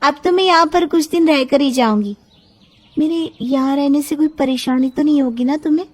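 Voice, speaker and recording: A very high-pitched, affectionate young woman from a storybook romance, acted out by a woman. She speaks coyly and earnestly, like someone lovelorn and gently pleading her case. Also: normal speed, close to an everyday voice.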